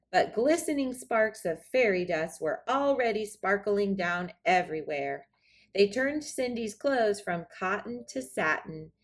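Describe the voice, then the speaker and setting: Expressive woman reading a children's storybook. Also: medium pitch. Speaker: storybook reader. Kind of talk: expressive children's read-aloud narration.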